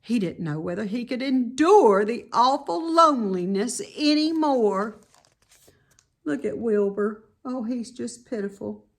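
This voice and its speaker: A woman reading a children's storybook aloud as an expressive narrator. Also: a little high, unhurried.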